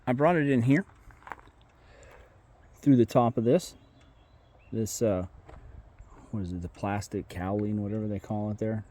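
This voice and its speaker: A man's voice: fairly low pitch, unhurried, pauses often, dark tone. A man giving a casual how-to walkthrough of his own vehicle work, plainspoken and folksy, trailing off and restarting as he points things out, recorded outdoors on a camera mic while he moves around. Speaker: car modification vlogger.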